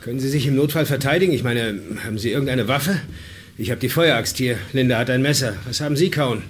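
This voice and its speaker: A man's voice: mid-range voice, quick pace, husky, close to an everyday voice. An actor playing a bossy, sardonic man who leads a group of survivors in a German radio drama. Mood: sardonic, insistent. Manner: commanding, sardonic.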